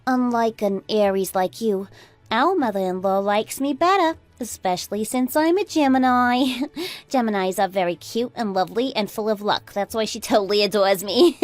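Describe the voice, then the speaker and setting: A woman playing a smug, catty sister-in-law in a radio drama, preachy and boastful in an animated way. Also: on the high side, moderate pace, no echo, close to an everyday voice. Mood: smug, gloating. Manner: animated, preachy, boastful, swooping into indignant questions. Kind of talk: scripted radio drama dialogue.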